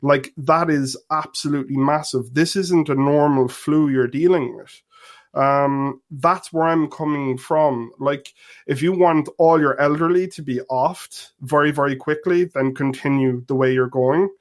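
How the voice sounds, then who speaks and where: A man's voice: fairly high pitch, steady tone, a little slow. Irish man calling in to talk radio. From Ireland. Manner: earnest, explains step by step with many "like" and "so". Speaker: caller. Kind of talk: call-in radio discussion. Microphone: phone or online call.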